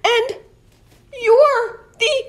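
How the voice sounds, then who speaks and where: A woman voicing a small boy knight in a children's picture book read-aloud, with drawn-out, dramatic delivery. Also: extremely high pitch, steady tone, fairly bright tone, pitched above the performer's own voice, full character voice. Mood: alarmed, amazed. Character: small boy knight, smug then alarmed, storybook.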